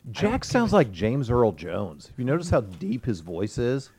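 A man co-hosting a radio talk show chimes in with dry one-liners. His pitch swings about in a lively way from line to line, over a mid-range voice. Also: a little slow, breathy edge. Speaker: radio co-host. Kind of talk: casual studio banter.

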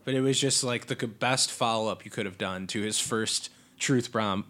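A man co-hosting a casual podcast, sniffly from allergies, excitable and swearing freely. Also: on the low side, moderate pace. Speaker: podcast co-host. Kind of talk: casual wrestling chat. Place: Chicago area.

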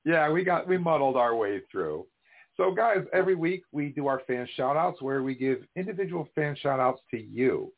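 A man's voice: on the high side, expressive, moderate pace, gravelly. A man hosting a podcast who leads the segments in an upbeat, enthusiastic, casual way. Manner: upbeat, enthusiastic host patter. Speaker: podcast host. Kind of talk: casual chat. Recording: tinny phone-like audio.

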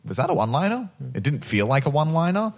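A man's voice: a little high, very animated, fast. A man in a casual film discussion, speaking bluntly and jokingly, with some swearing.

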